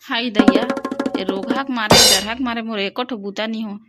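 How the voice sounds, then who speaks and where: A woman's voice: on the high side, steady tone, normal speed, loud music behind the voice, close to an everyday voice. A woman voicing an exasperated village aunt in a comedy cartoon, scolding and complaining in a Chhattisgarhi accent.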